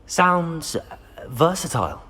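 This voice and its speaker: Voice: mid-range voice, sing-song, slow pace, pushed and bright, full character voice. An actor voicing a polite, self-assured young student at a magic school in a video game, understated in manner.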